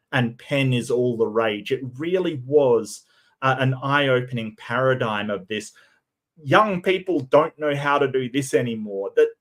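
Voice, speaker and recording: Croaky, stammering male streamer. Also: medium pitch. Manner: stammering, repeats his first words. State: croaky, tired voice. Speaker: streamer host. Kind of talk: casual solo commentary.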